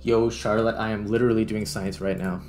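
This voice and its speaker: A man's voice: on the low side, little pitch movement, fairly quick, a little mellow. A man hosting a gaming video, thinking aloud in casual commentary as he plays. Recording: music behind the voice. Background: background music.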